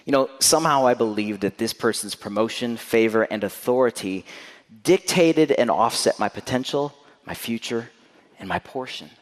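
Guy preaching candid in a church, goes loud then real quiet, mid voice, big echoey hall. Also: normal speed. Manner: candid, swings from loud emphatic bursts to quiet intense lows. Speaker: guest preacher. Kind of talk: sermon. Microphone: handheld or stand mic.

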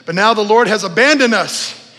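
A man's voice: sits high, expressive, normal speed, bright, forceful tone. A middle-aged man preaching informally to a congregation, telling stories in a conversational, emphatic way, repeating phrases and checking in with his listeners. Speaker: preacher, former youth pastor. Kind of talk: informal storytelling sermon to a congregation. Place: church service in a large room. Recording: reverberant hall sound.

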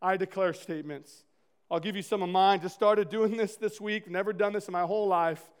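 A man in his thirties preaching a sermon as pastor, loud, passionate and earnest. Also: sits high, steady tone, brisk, smooth.

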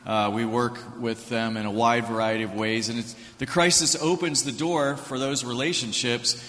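A man, a ministry director, explaining his work in a measured way. His pitch sits in a middle range. His voice echoes with the long reverb of a large hall. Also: fairly even intonation, a bit bright. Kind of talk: onstage interview answers.

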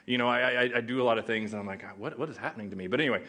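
A man's voice: medium pitch, racing, bright, forceful tone. Man, Oklahoma accent, guest pastor, casual joking sermon, mid-range voice. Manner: casual, joking, chatty with audience.

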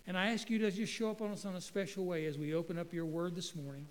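A middle-aged guest pastor from North Carolina, preaching in a folksy, joking way with stumbling restarts. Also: male voice, high-pitched, flat delivery, fast talker, long reverb tail. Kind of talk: sermon. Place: church sanctuary. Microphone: handheld microphone.

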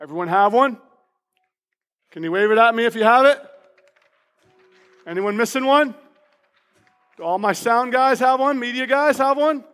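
A man with a high, loud and passionate voice preaches from the pulpit and reads declarations aloud with emphasis. His words ring out with a long hall reverb. Soft instrumental music plays underneath. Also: moderate pace, long pauses. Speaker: pastor. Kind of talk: sermon. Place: church sanctuary.

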